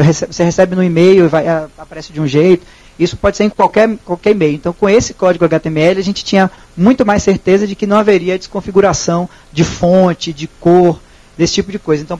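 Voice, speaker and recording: Husky high-voiced Brazilian man presenting. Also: a little mellow, poor audio. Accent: Brazilian Portuguese. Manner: hesitant, many restarts, explanatory. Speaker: speaker at a technical talk. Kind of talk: presentation and audience Q&A. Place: small auditorium. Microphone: handheld microphone.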